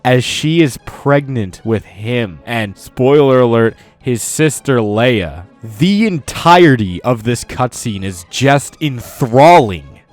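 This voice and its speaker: A man hosting a scripted countdown video, commenting in a mock-earnest way with exaggerated praise. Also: mid-range voice. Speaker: video host. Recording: music under the voice. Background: music.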